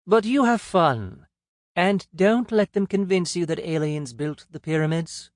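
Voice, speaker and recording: A playful, teasing young woman, as a male narrator puts her on. Her voice is lifted high and bright, and she stretches her words out slowly for effect. She speaks as a character in an audiobook. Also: no echo, studio quality. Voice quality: light, teasing delivery.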